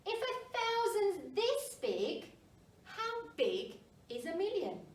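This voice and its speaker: Woman voicing a small curious penguin, high-pitched and questioning. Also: sing-song, slow, heavily acted. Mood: curious, wondering. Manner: wondering, questioning. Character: small curious young penguin, earnest, always asking questions. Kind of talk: children's storybook read aloud. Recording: roomy, distant mic, faint noise.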